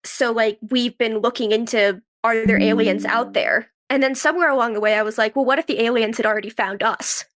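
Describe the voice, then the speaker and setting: A young woman with a fairly high voice speaks as a guest in an interview. She talks casually and conversationally, telling her story with plenty of "like" and little quotes of what she said or thought. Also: brisk, few pauses, a bit bright. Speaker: podcast guest, actress and writer.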